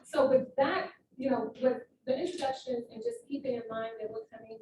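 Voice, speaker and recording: A woman's voice: high-pitched, steady tone, brisk, darkish tone. A woman moderating a live panel discussion at an event, talking in a conversational, emphatic way, recorded from a distance in a roomy hall. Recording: roomy, distant mic with room noise.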